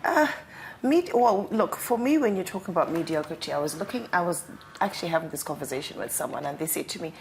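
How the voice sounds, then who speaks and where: Chatty low-voiced woman hosting a talk show. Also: lively intonation, fast talker, vocal fry, a little hiss or hum. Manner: chatty and probing, asks long winding questions. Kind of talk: studio interview.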